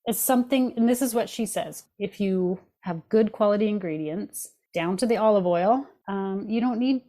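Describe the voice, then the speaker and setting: Easygoing female co-host, mellow warm tone, casual explainer. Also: mid-range voice, normal speed. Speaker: podcast co-host. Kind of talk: casual podcast conversation.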